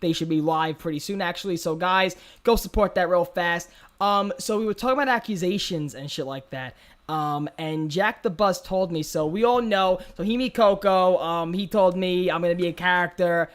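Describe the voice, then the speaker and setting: A man's voice: high-pitched, moderate pace, a bit bright. A man hosting a podcast in casual chat, swearing freely and often repeating words and phrases as he talks. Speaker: podcast host.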